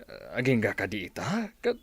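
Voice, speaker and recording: A man's voice: fairly low pitch, wildly swooping pitch, gravelly, slightly rough, dry recording, exaggerated voice. An actor playing a doting, hesitant elderly father in a radio drama, hemming and murmuring as he talks. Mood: concerned, questioning. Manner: halting, full of hems and murmurs.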